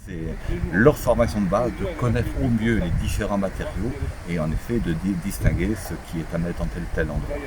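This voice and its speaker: A man's voice: deep voice, brisk, rough recording. A middle-aged man with a French accent, a local official interviewed on site, talking with many fillers and restarts. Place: outdoor recycling center. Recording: outdoor crowd noise.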